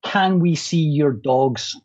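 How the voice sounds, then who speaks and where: A man's voice: a little high, slow, darkish tone, husky. A man hosting an online talk, introducing a guest with wry jokes. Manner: wry, jokey. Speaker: host. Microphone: video call. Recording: dull lo-fi sound.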